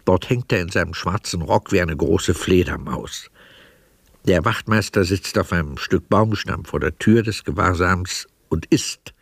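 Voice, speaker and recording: A man with a lowish voice narrates a radio play in measured, matter-of-fact phrases. The tone is slightly husky and the sound very dry and close. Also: dry recording. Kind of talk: scripted radio drama narration.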